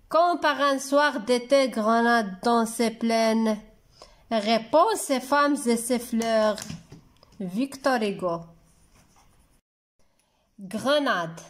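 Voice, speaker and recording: Very slow, high-voiced woman reciting poetry. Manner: measured, deliberate, phrase by phrase. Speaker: teacher reading a poem for pupils. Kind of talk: slow poetry recitation for dictation and reading lesson.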